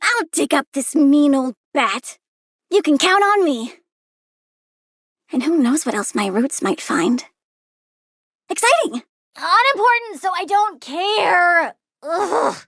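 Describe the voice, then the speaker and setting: A woman's voice: very high-pitched, sing-song, slow pace, pauses often, fairly bright tone, dry recording, studio quality, heavily acted. A woman voicing a young girl plant villainess in a video game, childlike, blunt and pouty, with a volatile, morbidly cheerful temper. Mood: sulky, irritated. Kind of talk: video game character voice lines.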